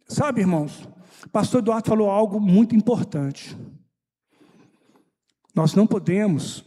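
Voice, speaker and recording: A man with a Brazilian Portuguese accent, an evangelist, speaking hoarsely and hesitantly to the church. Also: sits high, mellow. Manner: hesitant, humble. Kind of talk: church testimony, sermon-like. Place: church hall.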